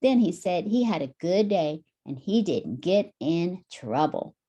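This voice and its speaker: Low, mellow woman reading a picture book. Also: very animated, measured pace, breathy edge, muffled recording. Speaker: teacher reading aloud to children. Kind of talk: expressive picture book read-aloud.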